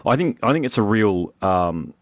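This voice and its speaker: A young man with an Australian accent and a low, deep voice hosts a casual interview. He talks in an easy, enthusiastic way, full of "mate" and friendly chatter. The sound is dry and close, with no room around it. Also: very animated, normal speed, no echo. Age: young adult. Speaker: podcast host.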